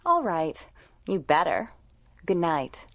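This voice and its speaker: An actress playing a sweet, caring young girlfriend in a 1930s radio drama, chatting in a folksy, gently teasing way. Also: on the low side, big pitch swings, takes it slowly, no room sound, full character voice. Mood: teasing, chatty.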